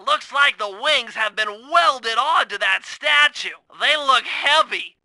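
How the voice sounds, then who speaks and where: A man's voice: very high-pitched, slow pace, pushed and bright, no room sound, exaggerated voice. A man voicing a brainy, earnest young cartoon turtle in a video game, explaining things in precise, technical, wordy instructions. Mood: focused, explanatory. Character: nerdy young male cartoon turtle, brainy, earnest gadget-minded strategist. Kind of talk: video game character voice acting.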